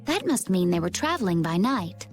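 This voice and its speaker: A woman playing a composed, observant female companion in a video game, with measured, formal wording. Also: mid-range voice, big pitch swings, faint music behind. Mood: pondering. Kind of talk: video game cutscene voice acting.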